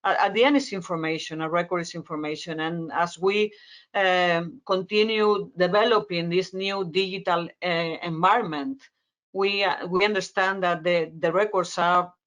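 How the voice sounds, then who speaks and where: A woman's voice: on the low side, a bit bright. A woman from Spain with a Spanish accent, a native Spanish speaker, answering as an expert panelist and searching for words with frequent repetitions. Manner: halting, word-searching explanation with repetitions. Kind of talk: conference panel discussion.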